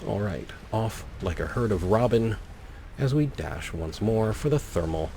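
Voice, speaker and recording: A man's voice: fairly low pitch, lively intonation, unhurried, gravelly, warm tone. A man giving casual, playful gameplay commentary as a let's play host, rambling with wry asides. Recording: faint background noise.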